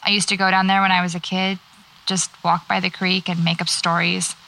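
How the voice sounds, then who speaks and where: An actress with an American accent playing a guarded, wry horror writer in a naturalistic audio drama, speaking tersely and dryly. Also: female voice, lowish voice, flat delivery, a little fast, creaky, sharp tone, poor audio. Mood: wry, relaxed.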